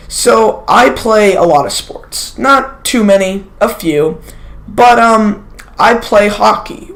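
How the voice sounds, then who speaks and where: Sniffly sick teen rambling thru a story, lots of asides and corrections. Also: mid-range voice. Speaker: vlogger telling a personal story. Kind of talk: casual storytime monologue.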